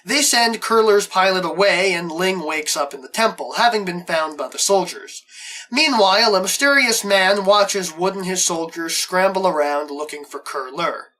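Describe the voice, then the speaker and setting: Bright-voiced man narrating a recap. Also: high-pitched, normal speed, low-quality recording. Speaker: host of a review show. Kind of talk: scripted narration, plot recap of an audio drama.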